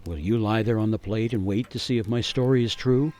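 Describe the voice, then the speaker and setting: An actor playing a haunted gingerbread cookie who tells a grim cautionary tale in a suspenseful, theatrical radio monologue with deliberate pauses. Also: male voice, lowish voice, darkish tone, soft background music, natural voice. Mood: urgent warning. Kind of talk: dramatic monologue for radio storytelling.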